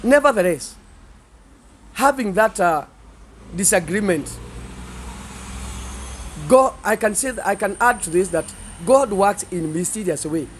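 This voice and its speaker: A middle-aged man with a Kenyan accent, a pastor speaking earnestly and haltingly in an interview, pausing and repeating phrases. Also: high-pitched, lively intonation, a little hiss or hum. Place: seated indoors in a small studio set. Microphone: handheld interview microphones held by the interviewer.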